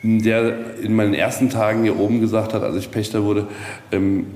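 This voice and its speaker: Low-voiced man in an echoey space. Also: flat delivery, moderate pace, a little mellow. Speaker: castle leaseholder, interviewee. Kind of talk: casual interview storytelling. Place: at a hilltop castle, reverberant. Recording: echoey, long reverb, noisy ambience.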